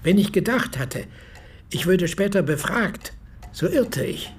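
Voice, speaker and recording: A man's voice: sits high, animated, noisy background. An actor playing an 86-year-old former army medic who recounts his memories as a solemn, precise eyewitness in a radio play. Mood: earnest, precise. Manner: measured, precise, formal storytelling. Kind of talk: radio play monologue, reminiscence.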